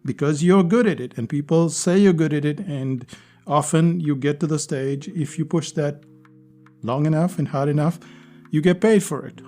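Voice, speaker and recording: A man's voice: a little high, normal speed, dark tone, soft background music. A man hosting a solo podcast, talking in an earnest, conversational way and repeating phrases for emphasis. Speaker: podcast host.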